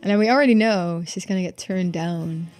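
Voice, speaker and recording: A woman's voice: on the low side, brisk, darkish tone. A woman giving casual commentary as she streams a game playthrough. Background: music.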